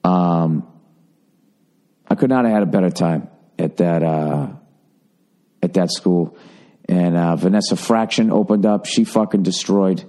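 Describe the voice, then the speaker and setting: A man's voice: deep voice, unhurried, long pauses, mellow. A middle-aged man hosting a podcast, telling road stories in a profane, sardonic, rambling way with many fillers. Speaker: podcast host. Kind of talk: casual solo podcast monologue.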